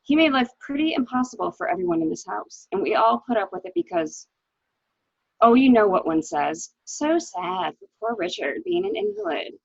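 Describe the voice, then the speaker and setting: A woman's voice: fairly high pitch, big pitch swings, moderate pace, vocal fry, breathy edge, no room sound, heavily acted. A woman acting a resigned, bitter wife suspected of murder, with genteel, theatrical phrasing, in a dramatic reading of a mystery. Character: resigned, bitter wife caught beside her murdered husband. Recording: dull, lo-fi audio.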